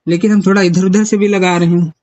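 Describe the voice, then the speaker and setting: A woman's voice: on the low side, fairly even intonation, fast talker, darkish tone. A woman with an Uttar Pradesh accent chatting in a colloquial, agreeable way on a recorded phone call. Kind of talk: casual private phone conversation.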